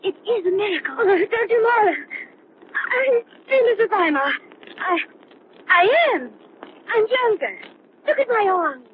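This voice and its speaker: An actress playing an older woman full of halting, breathless wonder, in 1930s radio drama style. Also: very high voice, lively intonation. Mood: ecstatic amazement. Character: ailing older woman amazed at growing younger. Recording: tinny 1930s radio recording, hiss.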